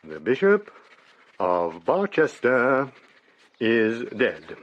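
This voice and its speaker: Radio drama casting: commanding, pompous Victorian English cleric, animated intonation, slightly muffled sound. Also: male voice, medium pitch, drawn-out words, no room sound, heavily acted. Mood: solemn. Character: brisk, imperious, suspicious Victorian archdeacon, scornful of newcomers. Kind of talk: literary radio drama acting.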